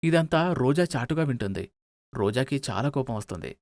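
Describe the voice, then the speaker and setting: A man's voice: medium pitch, animated, a little fast, darkish tone, no room sound, clean studio sound. A man narrating a scripted moral story.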